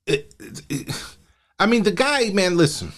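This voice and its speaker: Male stream host, lively pitch, mocking and exasperated commentary. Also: measured pace. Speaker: host, live reviewer. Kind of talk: live commentary.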